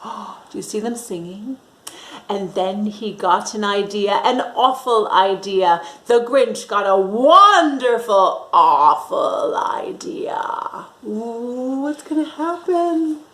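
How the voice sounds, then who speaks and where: A woman's voice: mid-range voice, animated, slow pace, a bit bright, slight background noise. A woman reading a picture book aloud to children, expressively drawing out words and engaging her young listeners. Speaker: children's storyteller. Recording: roomy echo.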